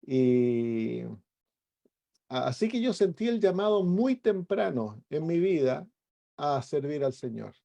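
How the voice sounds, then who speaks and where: Middle aged chilean guy, pastor telling his life story, soft mellow voice a bit on the high side, pauses to find words. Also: big pitch swings, vocal fry, dull audio. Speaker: pastor and evangelist. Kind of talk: personal testimony.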